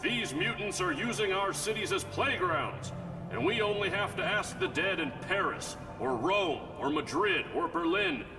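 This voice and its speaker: An indignant male lawyer, acted by a man, ranting in a high voice that swings widely. He hammers his points like a lobbyist in an animated comic. It sounds far off in a long reverb, with loud music behind. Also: moderate pace, creaky, heavily acted. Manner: ranting, emphatic. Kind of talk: dramatic motion comic voice acting.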